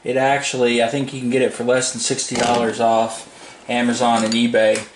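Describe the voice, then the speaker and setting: A man's voice: medium pitch, monotone, normal speed. A man giving a casual, unscripted product demo in a plain-spoken, informal, rambling way. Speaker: hobbyist product reviewer. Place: indoors at a home desk. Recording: echoey room, faint background noise.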